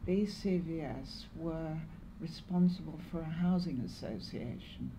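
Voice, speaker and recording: An older English woman in her seventies or beyond, reminiscing in an interview in a measured, halting way with pauses. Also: sits low, background noise. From Lancashire, northwest England. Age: 70s or older. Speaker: interviewee, longtime community volunteer. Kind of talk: oral history interview, reminiscing.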